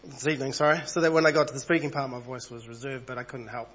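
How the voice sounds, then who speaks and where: A man over thirty-five, husky from a cold, preaching an evening church sermon in a conversational way and reading passages aloud. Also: mid-range voice, little pitch movement, fast talker, a bit bright, lo-fi sound. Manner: conversational, reads passages aloud, small asides.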